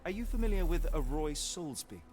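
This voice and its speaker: A man's voice: a little high, animated, a little fast, over music, lightly acted. A man playing a formal, inquisitive detective with a British accent in a video game. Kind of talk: scripted game dialogue, questioning.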